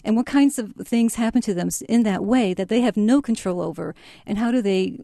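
A woman's voice: mid-range voice, fairly quick, gravelly, dark tone, no room sound, clean studio sound. A woman from Chicago, a novelist, telling her story in a reflective, roundabout way in a radio interview. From United States (Chicago). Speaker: novelist guest.

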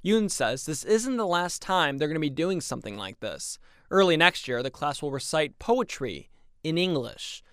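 A man reporting for public radio, reading a scripted news feature in a measured, even storytelling manner. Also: a little high, clear, resonant tone, dry recording, studio quality.

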